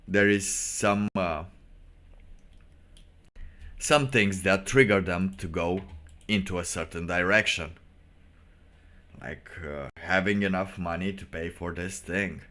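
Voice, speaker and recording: A man hosting a casual solo livestream, giving sardonic commentary in a halting way and repeating phrases for emphasis. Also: low voice, steady tone, fairly bright tone. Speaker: livestream host.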